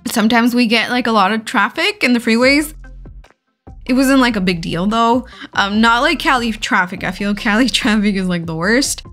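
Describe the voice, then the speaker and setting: A woman's voice: on the high side, moderate pace, a bit bright, faint music behind. A woman narrating her road trip vlog in a casual, chatty way, giggling often and filling her talk with "like". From California. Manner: chatty, giggly, many "like" fillers. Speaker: vlogger.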